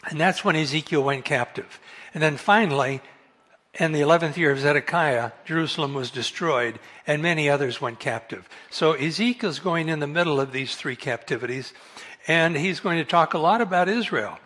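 A soft-spoken man giving a relaxed Bible study talk over a microphone in a meeting hall, correcting himself and chuckling now and then. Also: fairly high pitch, moderate pace. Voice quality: soft-voiced. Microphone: amplified microphone.

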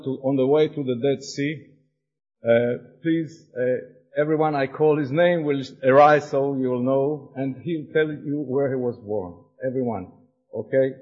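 Slow, halting man from Austria. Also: a little high, muffled recording. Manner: hesitant, searching for words, frequent fillers. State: a little excited. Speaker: congregation member presenting his group. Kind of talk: halting presentation to an audience with slides.

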